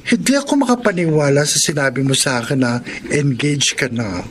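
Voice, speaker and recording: Radio drama role: actor as skeptical young male buddy, casually prodding, lightish pitch, faint ambience. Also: animated, a little fast, a little hiss or hum, lightly acted. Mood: teasing, doubtful. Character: teasing, skeptical young man, bit-part TV extra. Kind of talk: Tagalog radio drama acting.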